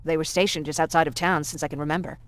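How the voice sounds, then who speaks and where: Woman as weary young female survivor, low pitch, hesitant, soft-hearted, grieving, game drama. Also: steady tone, racing, close to an everyday voice. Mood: resigned. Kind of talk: video game acting.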